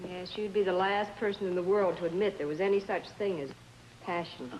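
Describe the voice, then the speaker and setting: An actress playing a bored, sarcastic wife, caustic and wisecracking, in an old-style suspense drama. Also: female voice, on the low side, steady tone, a little fast, a little mellow, close to an everyday voice. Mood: impatient sarcasm. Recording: noisy old recording.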